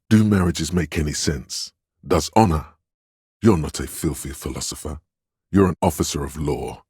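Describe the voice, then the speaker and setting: Very deep, commanding voice of Authority. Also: male voice, a little mellow, husky, no room sound, clean studio sound, heavily acted. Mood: stern, admonishing. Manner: imperious, clipped orders, addressing listener as recruit. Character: stern, commanding inner voice of a police officer's authority. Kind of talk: video game character narration.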